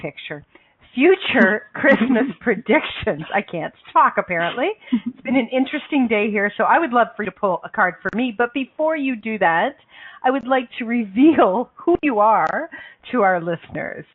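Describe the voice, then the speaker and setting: A woman's voice: a little high, expressive, normal speed, slightly rough. A woman hosting a call-in radio show, chatty and tripping over her words now and then. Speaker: radio show host, coach. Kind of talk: casual radio chat. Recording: phone-line call-in audio.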